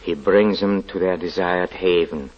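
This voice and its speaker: Sinister pious lodger, hesitant halting speech, very deep voice, muffled lo-fi radio sound. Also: male voice, steady tone. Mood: brooding. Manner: halting, hesitant speech with sudden sharp outbursts. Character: stern pious male lodger, brooding and sinister. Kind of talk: vintage radio drama acting. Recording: dull lo-fi old radio recording.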